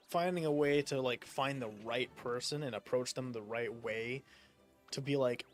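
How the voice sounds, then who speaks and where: A young man from the United States chatting casually while gaming, rambling with lots of fillers and casual swearing. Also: mid-range voice. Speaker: streamer.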